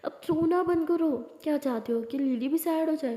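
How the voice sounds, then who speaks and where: A woman voicing a bossy but caring young college friend in a story, brisk, scolding and coaxing. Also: high-pitched, dark tone, pitched above the performer's own voice, close to an everyday voice. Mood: soothing, firm. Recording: echoey room with long reverb.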